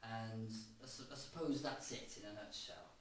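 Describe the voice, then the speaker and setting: A middle-aged man from Cardiff, answering interview questions in a halting way, often repeating words and restarting. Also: fairly low pitch. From Cardiff, Wales. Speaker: guitarist and producer. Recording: distant, very echoey room with faint noise.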